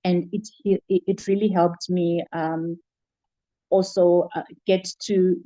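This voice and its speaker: A middle-aged woman with a Zimbabwean accent answers interview questions reflectively, often pausing and repeating words as she goes. Her voice is fairly low and very mellow. The recording sounds dull and muffled. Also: no echo. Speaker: interview guest, child protection worker.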